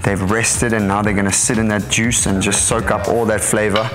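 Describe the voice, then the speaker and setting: A man's voice: on the low side, level tone, normal speed. A man in his thirties hosting a cooking show in a studio kitchen, upbeat and chatty with a lapel mic. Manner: upbeat, chatty, laughs often. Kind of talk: casual cooking show chat. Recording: music bed underneath.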